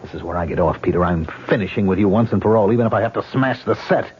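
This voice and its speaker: An actor playing a nervous, cornered man resisting a murder plot in an old radio mystery play, with a husky voice. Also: on the low side, very fast. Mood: indignant. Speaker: reluctant accomplice in a radio play. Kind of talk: 1970s radio drama acting. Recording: muffled old radio broadcast.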